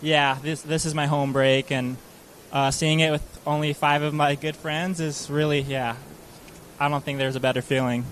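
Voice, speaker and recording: A man from Hawaii, a surfer, answering a beach interview after his heat, relaxed and halting. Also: on the high side, slight background noise. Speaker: competing surfer.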